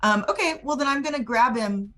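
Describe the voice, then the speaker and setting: A woman's voice: sits high, a little fast, sharp tone. A woman playing a tabletop roleplaying game, describing her moves in informal, plain-spoken language.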